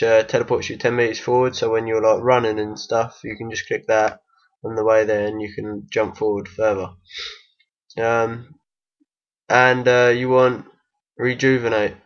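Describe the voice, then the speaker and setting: A man with a croaky voice from being unwell gives a casual, hesitant game tutorial voice-over. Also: on the low side, highs cut off. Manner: hesitant, many ums and restarts. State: croaky from illness. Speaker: game guide maker.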